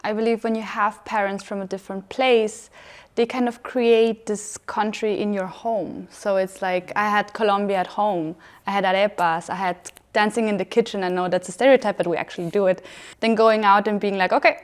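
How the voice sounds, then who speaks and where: A young woman from Austria, a talk show guest who tells personal stories in an animated way. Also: mid-range voice, moderate pace. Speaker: panel guest. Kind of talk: studio panel talk.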